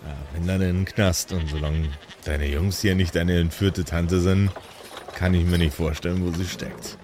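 A man's voice: deep voice, fairly even intonation, moderate pace, a little mellow, slight background noise, lightly acted. A man voicing a smug, shady male cleric who talks in casual, insinuating slang. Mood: sly, threatening.

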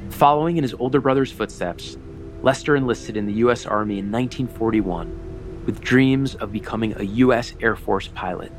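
A man narrating a historical documentary in a measured, solemn, scripted way with dramatic emphasis. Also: medium pitch, faint music behind.

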